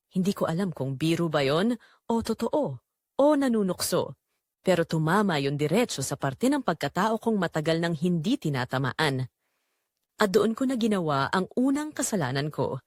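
Expressive woman narrating a confessional drama. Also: on the low side, no room sound, clean studio sound. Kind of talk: emotional first-person scripted story narration.

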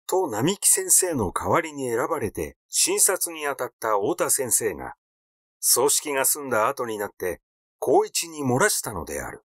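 A man's voice: mid-range voice, big pitch swings, moderate pace, no echo, studio quality. A man reading a literary mystery story aloud as its narrator. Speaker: audiobook narrator.

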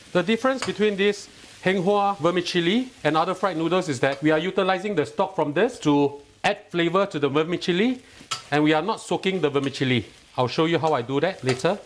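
Singaporean male cooking host, somewhat high voice, patient step-by-step instruction, keen on the food. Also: slight background noise. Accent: Singaporean English. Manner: instructive, step-by-step, lightly enthusiastic. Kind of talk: cooking tutorial voice-over. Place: home kitchen.

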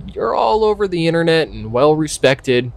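An actor playing a young park ranger in an audio drama, earnest, self-doubting and blunt, speaking in a hesitant, candid way. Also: male voice, on the high side, very animated, moderate pace, creaky. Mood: earnest, resigned. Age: young adult. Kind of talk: naturalistic audio drama dialogue.

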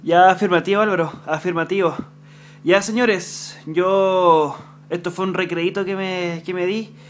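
A man's voice: high voice, steady tone, a little slow. A man with a Chilean accent, chatting on amateur radio from home and giving call signs. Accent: Chilean Spanish. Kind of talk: ham radio chat. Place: home room at a radio desk.

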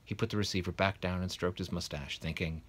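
Deep, husky man reading a storybook. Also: steady tone, fairly quick, fairly bright tone. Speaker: guest reader of a children's novel. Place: small bedroom, indoors, sitting in bed.

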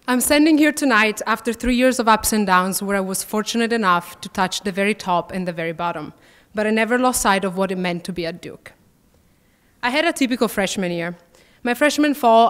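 Young woman, Italian accent, student athlete, prepared podium speech, gently humorous, mid-pitched, long hall reverb. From Italy. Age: 20s. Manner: warm and self-deprecating with light humor. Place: large banquet hall, at a podium.

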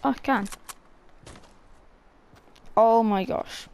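A woman with a croaky, gravelly voice, coughing now and then, casually commenting on her own video game play. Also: fairly high pitch, extremely slow, a little mellow. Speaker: gameplay streamer.

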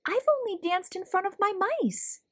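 A woman's voice: very high-pitched, lively intonation, normal speed, voice pushed up. A woman voicing a shy, earnest young schoolboy in a children's storybook. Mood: timid. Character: shy, timid young schoolboy who loves tap dancing.

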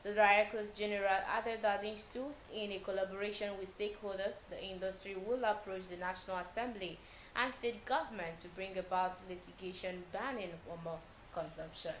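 A young Nigerian woman reading a news bulletin as a TV newsreader, steady and measured with small slips. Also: medium pitch, reverberant, slight background noise, poor audio. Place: TV news studio.

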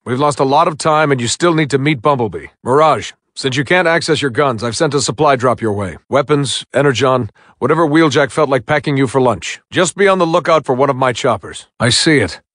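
An actor playing a wry military commander, giving orders with dry sarcasm in video game mission dialogue. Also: male voice, mid-range voice, a little fast, a bit bright, dry recording, lightly acted. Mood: dry, businesslike. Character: wry male military commander guiding a soldier.

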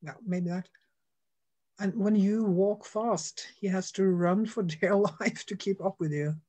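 Middle-aged woman, Norwegian accent, non-native English, frank and relaxed, home video call. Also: medium pitch. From Norway. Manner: frank, laughs easily, unhurried. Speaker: dog trainer guest. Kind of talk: casual video call interview. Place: home room with shelves.

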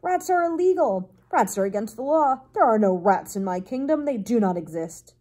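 A woman's voice: medium pitch, normal speed, mellow, natural voice. A woman voicing a grieving, stubborn male king in a storybook, blustering and dismissive. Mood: furious, stubborn. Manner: bellowing, imperious, dismissive. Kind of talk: storybook character voice.

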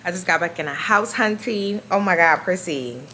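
A woman's voice: lowish voice, moderate pace, sharp tone. A woman in her twenties with an American accent, chatting casually and in slang for her vlog on a handheld camera. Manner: informal, slangy. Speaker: vlogger. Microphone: handheld camera at arm's length. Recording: noisy handheld camera recording.

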